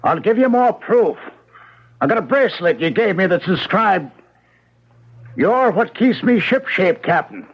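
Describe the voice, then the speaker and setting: A man's voice: high voice, animated, measured pace, breathy edge, full character voice. An American actor playing a desperate man trapped in another man's body in a 1970s radio drama, speaking urgently and insistently. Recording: dull 1970s AM radio broadcast recording.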